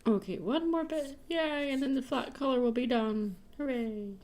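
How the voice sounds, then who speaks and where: A woman's voice: sits high, moderate pace, a little mellow. A woman chatting casually and playfully while livestreaming her artwork. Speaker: digital artist livestreaming.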